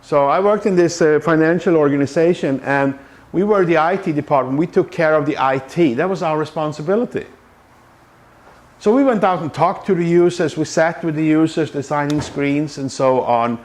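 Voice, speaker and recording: A man's voice: on the high side, moderate pace. A man from Sweden, a Scrum trainer giving a conversational talk to a meetup group, with many fillers and restarts. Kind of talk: conference talk. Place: meetup room.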